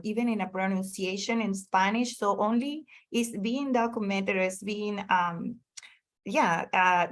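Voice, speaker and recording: Earnest woman panelist, mid-pitched. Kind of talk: webinar panel discussion.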